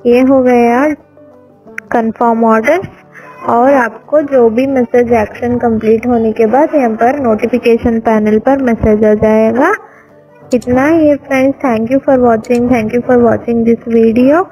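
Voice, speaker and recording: A woman talks viewers through an app one step at a time, her voice sitting a little high. She calls her audience friends and explains each tap plainly. Soft music plays faintly under her voice-over. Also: fairly even intonation, takes it slowly, low-quality recording. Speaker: app tutorial presenter. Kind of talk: screen-recorded app walkthrough. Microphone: voice-over over screen recording.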